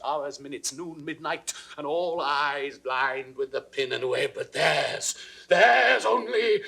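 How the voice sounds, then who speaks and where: A man's voice: a little high, pushed and bright, full character voice. An actor playing a jealous, suspicious king with a British accent, in theatrical classical Shakespearean style for an audio drama. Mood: obsessive, bitter. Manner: theatrical, crisply declaimed, dropping into whispers. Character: jealous, suspicious king brooding over his wife's imagined betrayal. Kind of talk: classical Shakespearean stage acting, 1960s audio recording.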